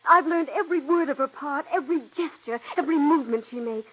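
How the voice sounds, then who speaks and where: An actress voicing a young, stage-struck female stenographer who is adoring and eager, in 1940s radio acting style with a breathy, gushing way of talking. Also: sits high, fast talker, natural voice. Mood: pleading. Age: young adult. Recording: dull 1940s radio broadcast.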